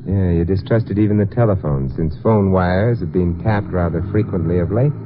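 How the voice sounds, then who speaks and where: An actor playing a suave, sardonic detective in a 1950s radio mystery, wry, unhurried and self-assured. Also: male voice, low voice, lightly acted. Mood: probing, assured. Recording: tinny, noisy old radio recording.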